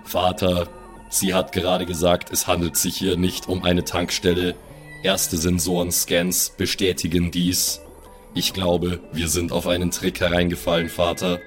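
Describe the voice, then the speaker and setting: A deep-voiced combat robot, played by a man, formal and clipped in its speech. It is coldly obedient and looks down on lower life forms, set over faint music in a sci-fi audio comedy. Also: completely flat delivery, a little slow. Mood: cold, suspicious. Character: cold deadly male robot, obedient to its father. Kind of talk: improvised audio drama acting.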